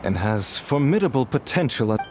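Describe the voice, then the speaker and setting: An actor playing a scheming merchant in a video game, suave, condescending and theatrically polite. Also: male voice, mid-range voice, very animated, moderate pace, a little mellow, slight background noise, lightly acted. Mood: calculating. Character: smooth, scheming male merchant dealing in weapons.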